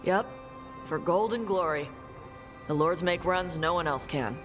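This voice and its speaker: A woman voicing a blunt young dragon hunter who talks in short, gruff, dismissive lines in a game cutscene. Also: fairly low pitch, a little slow, music in the background, natural voice. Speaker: treasure hunter. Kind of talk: video game cutscene dialogue.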